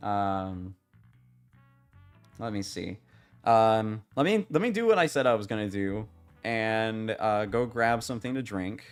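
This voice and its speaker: Young male game streamer, low and a bit raspy, rambling off-the-cuff chat, with faint game music underneath. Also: animated. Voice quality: slightly raspy voice. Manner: rambling, halting, many restarts and fillers, swears casually. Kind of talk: casual live-stream chat with viewers.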